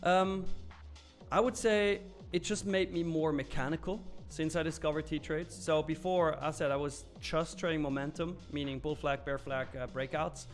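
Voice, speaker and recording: A man's voice: fairly high pitch, steady tone, moderate pace, soft background music. A man hosting a live stream, chatting loosely and candidly as he answers viewers' comments, with frequent fillers and the odd curse. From Switzerland. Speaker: live trading stream host.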